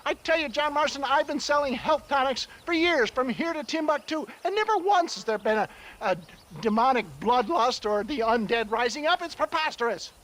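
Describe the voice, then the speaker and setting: A man's voice: very high voice, animated, normal speed, fairly bright tone. An actor playing a shifty, cowardly old snake-oil salesman in a video game, with a pompous, wheedling, hemming-and-hawing manner. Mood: indignant, pleading. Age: older. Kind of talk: dramatic video game cutscene acting.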